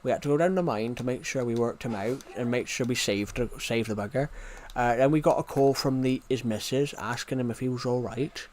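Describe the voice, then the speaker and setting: A man's voice: mid-range voice, fairly quick, few pauses, a little mellow, a little hiss or hum, lightly acted. A man putting on a broad West Country accent, voicing a rural working man who tells a rambling story. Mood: yarn-spinning. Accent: thick West Country. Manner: rambling, run-on story, repeats himself.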